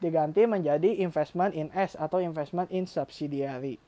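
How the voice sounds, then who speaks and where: Lower-pitched Indonesian woman tutoring informally. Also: moderate pace, warm tone. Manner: addresses learners directly, asks and answers own questions. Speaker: accounting tutor. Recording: dull, lo-fi close dry recording.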